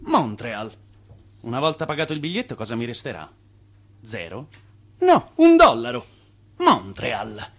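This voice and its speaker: A man's voice: mid-range voice, wildly swooping pitch, unhurried, long pauses, a bit bright, exaggerated voice. A man playing a brash, ambitious Italian immigrant hustler in a radio play, persuasive and insistent. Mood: calculating.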